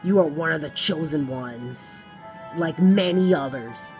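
A woman voicing a grave, grandiose male boss from a video game, speaking in a solemn, pompous, measured way. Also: sits low, over music. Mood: solemn, lofty.

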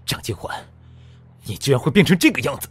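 A man's voice: mid-range voice, very animated, brisk, breathy edge, no echo. A man playing a proud, embittered, disgraced scholar in a costume radio drama, brooding and intense. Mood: bitter.

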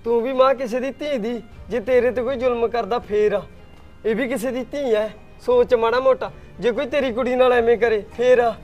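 A man's voice: extremely high pitch, fairly even intonation, moderate pace, faint music behind. A man playing a young husband urging his mother to stop mistreating his wife. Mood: reproachful. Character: young husband and son, peacemaker.

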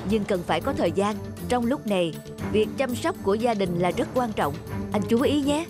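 A conscientious hospital doctor from a cartoon drama, voiced by a woman. The mid-pitched voice gives reassuring, careful advice. Music is clearly audible behind it. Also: animated, natural voice. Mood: advising, reassuring. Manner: explanatory, measured advice-giving. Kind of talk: animated moral story dubbing.

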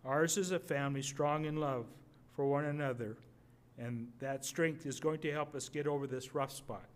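An older man reading a wartime letter aloud at a podium microphone, measured and a little halting. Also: on the high side, steady tone. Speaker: readers theater reader. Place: museum gallery, indoors.

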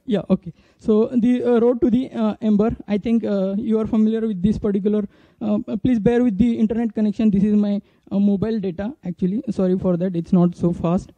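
A man in his thirties with an Indian accent giving an informal demo talk as a conference speaker, haltingly, into a handheld microphone. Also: high voice, steady tone, no room sound. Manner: halting, hesitant delivery. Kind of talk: informal flash talk with live app demo. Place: indoor conference stage. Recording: dull, muffled stage recording.

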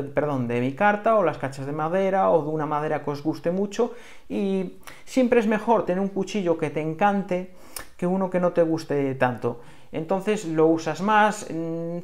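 A man with an accent from Spain, explaining things in a casual hands-on review, conversational and a little hesitant. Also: a little high. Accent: Spanish from Spain. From Galicia, Spain. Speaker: bushcraft knife reviewer.

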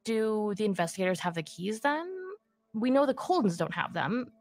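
A woman's voice: mid-range voice, lively intonation, moderate pace. A woman co-hosting a true crime podcast, reacting and asking questions. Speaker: podcast co-host.

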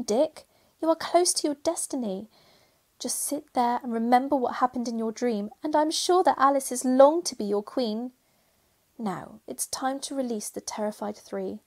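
A woman's voice: on the high side, darkish tone. A woman playing a kindly magical helper in a radio pantomime, speaking in sing-song rhyme. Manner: sing-song rhyming delivery. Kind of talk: pantomime radio play acting.

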